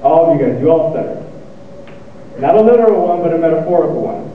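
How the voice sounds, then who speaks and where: A young man giving a motivational speech to an audience, speaking with a stutter and pausing, repeating words as he goes. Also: fairly high pitch, animated, slight background noise. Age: young adult. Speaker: student speaker. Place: lecture hall. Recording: echoey hall, tinny and far off.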